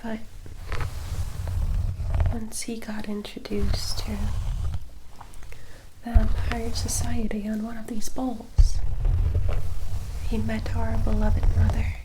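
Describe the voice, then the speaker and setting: A woman playing a gentle, formal vampire attendant in an ASMR roleplay, speaking in a soft, soothing and caring manner. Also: fairly high pitch, monotone, slow, long pauses, noisy background. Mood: confiding, gentle.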